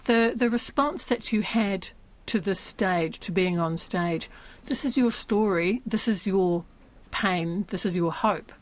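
A woman's voice: mid-range voice, slow pace, hardly any pauses. A woman hosting a radio arts programme, presenting and interviewing. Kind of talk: broadcast arts interview with scripted introduction.

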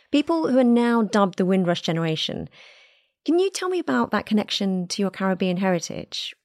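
A woman hosting a news podcast, reading scripted narration and asking guests clear, measured questions. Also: medium pitch, no room sound, studio quality. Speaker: podcast host.

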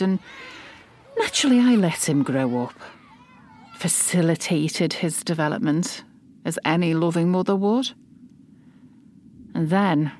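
A middle-aged British mother played by an actress speaks in a fairly low voice, confiding and defensive. She is possessive and self-justifying, with hesitant pauses, as in an audio drama monologue with music underneath. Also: darkish tone, close to an everyday voice. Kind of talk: dramatic monologue, confessional fiction podcast.

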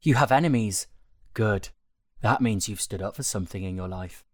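A man narrating a written article in a measured, articulate way. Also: fairly low pitch, no echo, clean studio sound. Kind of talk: scripted audio article narration.

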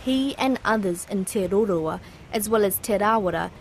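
A woman presenting a radio documentary story, narrating in a measured, clear way and interviewing guests. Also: mid-range voice, slight background noise.

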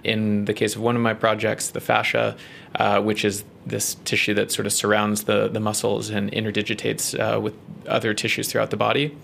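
A man with a New Zealand accent, a research scientist explaining his work in an interview, measured and full of ums and uhs. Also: fairly low pitch, completely flat delivery, vocal fry, fairly bright tone, slight background noise.

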